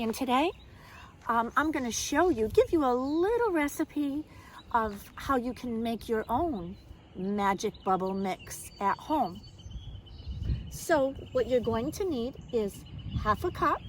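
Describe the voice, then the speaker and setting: A woman kindergarten teacher speaking simply and expressively to young children, in a deliberate storytime manner. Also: fairly high pitch, slight background noise. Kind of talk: children's storybook read-aloud and simple instruction.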